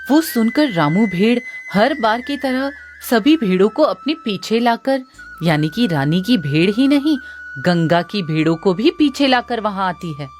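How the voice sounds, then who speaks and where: A woman narrates a scripted moral story as if from a storybook. Her pitch is average for a woman, and music runs beneath her voice. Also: expressive, measured pace. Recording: music under the voice.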